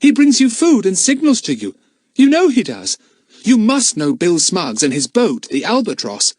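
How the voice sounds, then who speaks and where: A man's voice: high voice, wildly swooping pitch, normal speed, darkish tone, dry recording, pitched above the performer's own voice, full character voice. A man voicing an earnest young boy who pleads and bluffs, read in a storybook. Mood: desperate. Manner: eager, pleading. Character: earnest young boy, brave but desperate. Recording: dry close mic.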